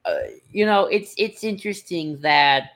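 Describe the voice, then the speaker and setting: A podcast co-host in casual discussion, speaking in a hesitant, halting way as if thinking aloud. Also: mid-range voice, fairly bright tone. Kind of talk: casual discussion of franchise books and comics.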